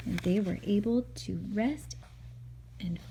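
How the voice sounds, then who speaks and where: A woman's voice: medium pitch, takes it slowly, warm tone, a little hiss or hum, rough recording. A woman reading a picture book aloud to a child as a mother, expressively, with chatty asides and questions.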